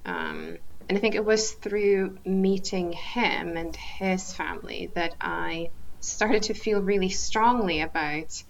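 A woman in her thirties, a podcast guest from Kazakhstan, talking thoughtfully with frequent pauses and restarts. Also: fairly low pitch, big pitch swings, gravelly, lo-fi sound. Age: 30s. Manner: thoughtful, hesitant with many ums and restarts. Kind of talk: casual interview chat.

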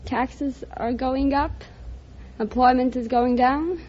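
A woman in her thirties answers plainly as a contestant on an old radio show. Her voice is high yet soft-edged and mellow, and the recording is muffled. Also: slow. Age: 30s. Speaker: quiz show contestant, housewife. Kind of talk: brief, plain interview answers.

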